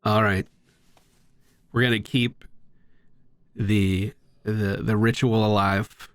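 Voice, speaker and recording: A man's voice: fairly low pitch, flat delivery, slow pace, no room sound. A man streaming a video game with casual, chatty commentary, explaining things with playful slang.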